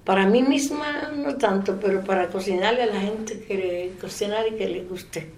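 A woman in her eighties from the Dominican Republic with a Dominican accent, giving a soft-spoken oral history interview. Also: medium pitch, normal speed, slight background noise. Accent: Dominican Spanish. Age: 80s. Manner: soft-spoken, trailing off into quiet.

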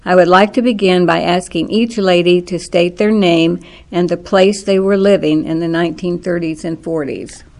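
An older woman from Kansas leading an oral history interview with measured, formal questions. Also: lowish voice, steady tone, darkish tone.

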